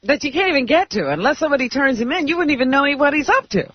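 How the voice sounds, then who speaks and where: A woman with a high voice co-hosts a talk radio show, chiming in conversationally. She sounds close and dry, with a slightly dull broadcast tone. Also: sing-song, rapid-fire, dry recording. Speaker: radio co-host.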